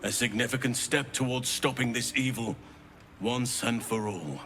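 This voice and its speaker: A man's voice: medium pitch, moderate pace, bright, forceful tone, lightly acted. An actor voicing a formal, dignified male warrior companion, over game music.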